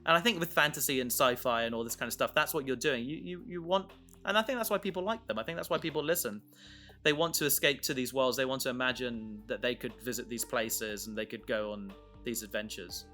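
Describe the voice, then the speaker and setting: Male podcast host, bright forward voice, casual and enthusiastic, wandering sentences, faint music behind. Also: mid-range voice, brisk. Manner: rambling, enthusiastic, trails off mid-thought. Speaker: podcast host and audio drama creator. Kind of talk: casual chat about making an audio drama.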